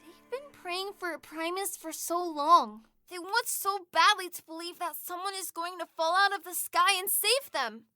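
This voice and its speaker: A woman's voice: extremely high pitch, normal speed, few pauses, pushed and bright, no room sound, faint music behind, full character voice. A woman playing a kind, earnest kid, wise beyond their years, in an audio drama. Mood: thoughtful, earnest. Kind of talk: scripted audio drama acting.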